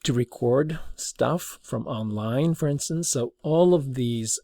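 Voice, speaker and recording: A man giving an informal tutorial as a teacher, explaining in a halting way. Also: mid-range voice, creaky, mellow, dry recording, clean studio sound. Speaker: teacher demonstrating audio production.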